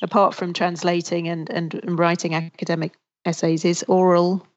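Low-voiced woman on lo-fi audio. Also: little pitch movement, normal speed. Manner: thoughtful, chatty, laughs readily. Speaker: literary translator and academic. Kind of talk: conversational interview answers. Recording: dull lo-fi audio.

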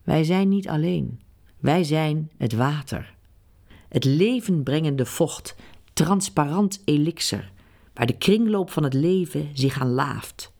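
A woman reading a meditative, incantatory text aloud, guiding listeners in a slow, hushed way. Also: low voice, animated, gravelly. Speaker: narrator. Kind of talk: scripted meditative narration.

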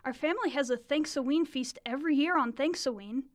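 A woman's voice: high-pitched, a little fast, lightly acted. A woman playing a whiny, snippy little sister in a comic radio play, complaining and teasing.

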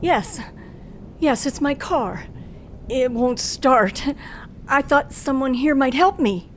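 A woman's voice: high-pitched, expressive, slow, slight background noise. An actress playing a nervous, frightened young woman driver who tells her ordeal in a breathless, suspenseful old radio thriller style. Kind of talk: old-time radio thriller acting and first-person narration. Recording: vintage radio drama with music underneath.

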